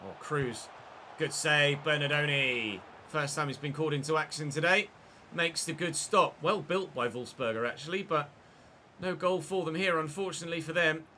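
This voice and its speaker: A young man commentating his football video game career, chatty and excitable, breaking into shouts when he scores. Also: on the high side, normal speed, slight background noise. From England. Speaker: gaming YouTuber.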